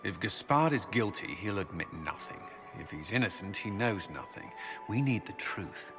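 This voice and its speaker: An actor playing a blunt, dutiful military commander in a fantasy game, terse and firm. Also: male voice, fairly low pitch, lively intonation, moderate pace. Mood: firm, urgent. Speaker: military advisor. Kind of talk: fantasy game cutscene acting. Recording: long hall reverb, music behind.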